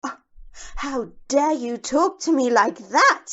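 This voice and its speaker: A woman acts out a wealthy young married lady in an audio drama. Her voice is high, with a proper, imperious manner and an anxious edge. The sound is slightly dull and muffled. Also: animated, takes it slowly, fairly bright tone, exaggerated voice. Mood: indignant, flustered. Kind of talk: amateur audio play acting.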